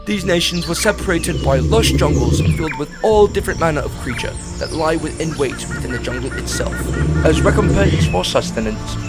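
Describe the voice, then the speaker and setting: A man narrating a fantasy audio drama in a scripted storybook style. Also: a little high. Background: music.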